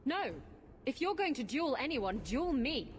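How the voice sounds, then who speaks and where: A woman's voice: high-pitched, big pitch swings, measured pace. An actress playing a wry, flippant roguish woman in a video game, with a teasing manner. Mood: defiant. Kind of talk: video game cutscene acting.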